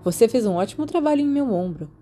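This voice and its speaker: A tired, stubborn Brazilian schoolboy, played by a woman narrator in an audiobook. He talks casually, trying to persuade, in a mellow voice. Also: on the high side, animated, fast talker, slight background noise, pitched above the performer's own voice, close to an everyday voice. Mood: pleading. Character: weary, stubborn teenage schoolboy, pleading and understated. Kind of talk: audiobook character voice.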